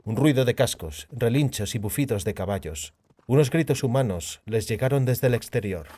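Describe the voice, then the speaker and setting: A man's voice: medium pitch, lively intonation, normal speed, warm tone. A man narrating a fantasy audiobook in a scripted, dramatic storytelling style.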